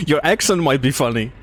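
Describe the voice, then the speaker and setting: A man's voice: fairly high pitch, husky. A man from Czechia streaming casual chat while driving, laid-back and given to chuckling and trailing off. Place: inside a car on the road. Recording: steady road and car noise. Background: car and road noise.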